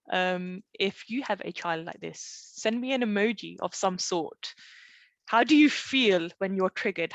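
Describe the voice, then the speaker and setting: A woman in middle age teaching in a conversational webinar style, with a dry, close sound free of room echo. She explains step by step through personal stories and often stops to check that listeners follow. Her pitch sits in an ordinary female range. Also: fairly bright tone. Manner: explanatory, often checking in with "okay?" and rhetorical questions. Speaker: parenting coach leading a live online session. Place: live online group session.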